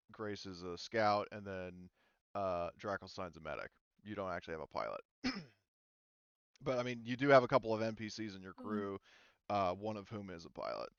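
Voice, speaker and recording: A low, smooth male voice in a very dry, slightly muffled recording. He is a game master talking his group through the rules, informally and haltingly, feeling for his words as he goes. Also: fairly bright tone, no echo. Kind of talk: casual group discussion of tabletop game rules.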